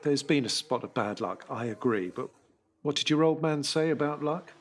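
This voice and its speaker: Male narrator voicing a blunt, matey racing boss for audio drama: husky, persuasive, apologetic. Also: a little high, moderate pace, pitched above the performer's own voice, lightly acted. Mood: apologetic, placating.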